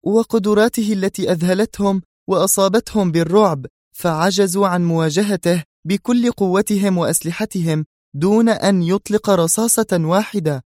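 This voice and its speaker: A man's voice: sits high, darkish tone, dry recording, studio quality, voice pushed up, natural voice. A man voicing a tense, cautious woman who works for a crime boss, in an audio drama.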